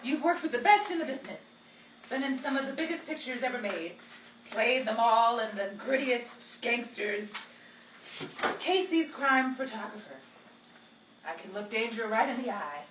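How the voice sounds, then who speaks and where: A woman's voice: on the high side, expressive, moderate pace, lightly acted. A woman playing a skittish, wisecracking Hollywood actress turned amateur sleuth in a 1940s-style radio comedy mystery, with playful, theatrical delivery. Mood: nervous, self-rallying. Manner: playful, theatrical quipping with gasps and exclamations. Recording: roomy, distant live recording, noisy crowd behind.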